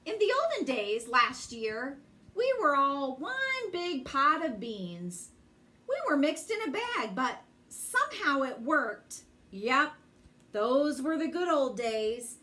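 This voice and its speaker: Woman, high voice, slow pace, children's storyteller, theatrical emphasis. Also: big pitch swings, bright, forceful tone. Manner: theatrical, deliberate phrasing with drawn-out emphasis. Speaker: children's storyteller reading a picture book aloud. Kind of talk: expressive bedtime story reading.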